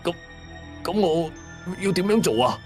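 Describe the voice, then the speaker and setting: A man's voice: high voice, expressive, measured pace, a little mellow, faint music behind, pitched above the performer's own voice. A man voicing a weary, helpless father pleading in a downcast way in an audio ghost drama. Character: weary, helpless father pleading with his dead baby daughter.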